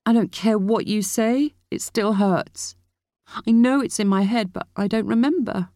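A woman's voice: mid-range voice, unhurried, warm tone, no echo, studio quality, pitched above the performer's own voice, lightly acted. A woman voicing a volatile, hurt and defiant teenage girl given to wounded outbursts in an audiobook.

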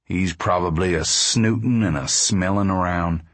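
A stern middle-aged farmer from a novel, played by a man, speaking low. He is gruff and folksy, always grumbling and suspicious. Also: unhurried, a bit bright, dry recording.

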